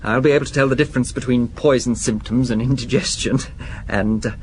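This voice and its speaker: An actor playing a nervous, obsessive husband in a radio drama, speaking hesitantly and apologetically yet with stubborn insistence. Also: male voice, medium pitch, brisk, slight background noise, lightly acted. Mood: obsessive, resolute. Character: nervous, obsessive shop-employee husband fearing poisoning.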